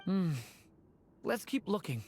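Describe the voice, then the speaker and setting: A woman voicing a gentle, wistful young dragonborn boy in a video game, thoughtful and hesitant. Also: medium pitch, very animated, slow pace, a little hiss or hum.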